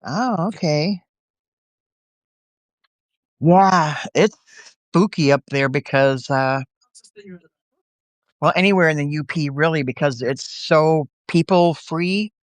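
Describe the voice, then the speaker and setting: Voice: medium pitch, slow pace, long pauses, no echo. A chatty livestream host at home in the countryside, recovering from a bad cold, talking casually and laughing often. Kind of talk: casual live-video chat.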